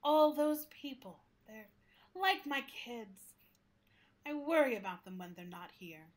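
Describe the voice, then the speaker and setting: Radio play casting: female actor for a sentient public library, high-pitched, earnest, caring, reflective, gently opinionated. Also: animated, unhurried. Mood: tender. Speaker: actor playing the interview guest. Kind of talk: radio play acting, conversational interview.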